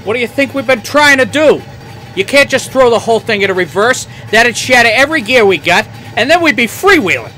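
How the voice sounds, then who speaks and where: A man playing a gruff, harried train engineer in old-time radio drama, irritable and strained. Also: very high-pitched, lively intonation, brisk, sharp tone, a little hiss or hum, heavily acted. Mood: exasperated, stressed.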